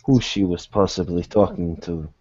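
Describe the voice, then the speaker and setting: A man acts out a guarded investigator from a secretive order in a tabletop game. His voice is low and dark, with slow, formal, carefully weighed phrasing. The recording is somewhat dull and lo-fi. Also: breathy edge. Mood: grave, deliberate. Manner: measured, formal, deliberate phrasing. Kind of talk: in-character tabletop roleplaying.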